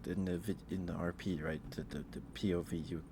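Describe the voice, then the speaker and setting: A man hosting an ASMR livestream, chatting casually in a halting, rambling way with pauses and repetitions. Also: low-pitched, fairly even intonation, background noise. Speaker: livestream host.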